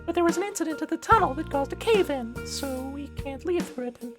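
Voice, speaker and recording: A woman's voice: high-pitched, lively intonation, brisk, over music. A woman playing a timid, naive schoolgirl with a polite, hesitant, nervous manner. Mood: matter-of-fact.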